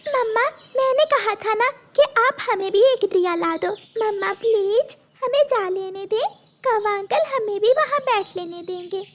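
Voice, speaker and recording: A little cartoon girl bird with an extremely high, childlike voice. She speaks slowly, in a polite and pleading way.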